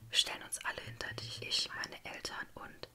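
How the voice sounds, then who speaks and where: A woman's voice: normal speed, natural voice. A woman voicing a loyal, sensible young girl in an earnest whisper for a story. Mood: earnest worry.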